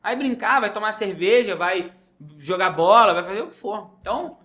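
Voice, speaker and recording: Man, mild carioca accent, high voice, bright tone, joking animated storyteller. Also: normal speed. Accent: mild Carioca Brazilian Portuguese. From Rio de Janeiro, Brazil. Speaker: pediatric intensive care doctor, guest. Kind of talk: casual podcast chat.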